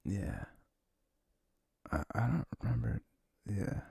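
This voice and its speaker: Male, very low and gravelly, for intimate ASMR rambling; halting, informal, sweary delivery. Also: sing-song, mellow, no echo, studio quality. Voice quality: low, husky, creaky voice. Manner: sniffles habitually. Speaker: ASMR audio performer. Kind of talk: intimate rambling ASMR chat, reminiscing to a listener.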